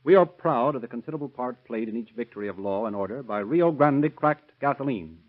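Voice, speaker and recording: A man's voice: medium pitch, expressive, a little fast. Formal male radio announcer, tinny vintage sound. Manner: formal, declamatory. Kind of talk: 1930s radio sponsor announcing, scripted. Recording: tinny, band-limited 1930s radio recording.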